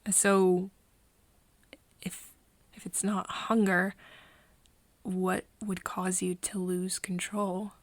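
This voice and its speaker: A woman playing a hesitant, caring young human friend in a soft ASMR roleplay, breathy and often dropping into a whisper, speaking haltingly. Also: fairly low pitch, flat delivery, dry recording, heavily acted. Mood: puzzled, hushed. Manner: halting, hesitant, with nervous giggles. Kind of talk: soft ASMR roleplay acting addressed to the listener.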